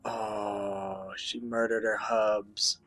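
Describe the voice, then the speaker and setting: A man doing casual, halting, profane gaming commentary alongside a co-host, in a joking way. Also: lowish voice, level tone, fairly bright tone. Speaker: Let's Play commentator.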